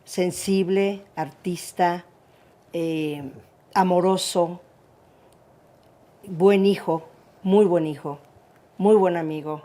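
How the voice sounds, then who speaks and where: A woman's voice: fairly low pitch, fairly even intonation, drawn-out words, pauses often, warm tone. A middle-aged Mexican woman with a Mexican accent, hosting a casual interview in an affectionate, playful way. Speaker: interview host.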